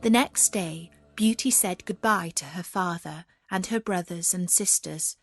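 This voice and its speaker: A woman narrating a scripted fairy tale as a storyteller, measured and expressive. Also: lowish voice, soft background music.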